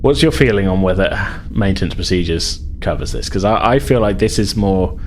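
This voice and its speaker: A man's voice: deep voice, expressive, brisk, a little hiss or hum. A man acting as game master in a tabletop role-playing game, explaining things conversationally and thinking aloud as he goes.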